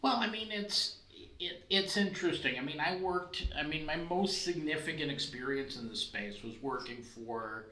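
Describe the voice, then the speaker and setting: A man's voice: on the high side, moderate pace, bright, forceful tone. Discursive male podcast host, roomy sound. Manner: discursive, many "you know" asides. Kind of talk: conversational interview.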